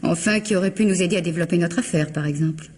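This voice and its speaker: An actress playing a cold, haughty bourgeois sister-in-law in a French radio mystery, with a condescending, formal, clipped delivery. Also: female voice, on the low side, racing, a little mellow, husky. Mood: cold, cutting.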